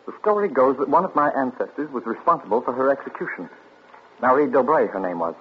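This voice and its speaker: An actor in a 1940s radio play voicing a nervous, defensive heir who hesitates, then turns indignant. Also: male voice, medium pitch, lively intonation, fast, slight background noise, lightly acted. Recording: dull old radio broadcast.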